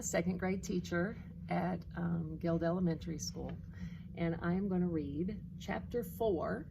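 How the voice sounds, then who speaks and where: A woman with a lowish voice reads a children's story at a very unhurried pace. Her delivery is expressive and deliberate, like a teacher's, on a clearly noisy recording. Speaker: second grade teacher. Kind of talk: reading a children's storybook aloud.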